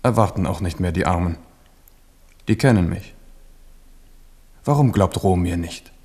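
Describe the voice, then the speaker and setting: A man's voice: deep voice, unhurried, a little mellow, breathy edge. An actor playing a proud, defiant king in a radio play, speaking with emphatic force. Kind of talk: 1970s radio play acting.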